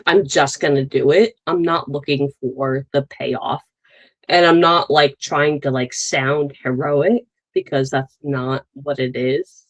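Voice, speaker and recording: A woman in her thirties with a low voice, talking slowly and unhurriedly. She rambles through her point, circling back with fillers and restarts as she co-hosts a casual chat. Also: low-quality recording. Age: 30s. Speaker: podcast co-host.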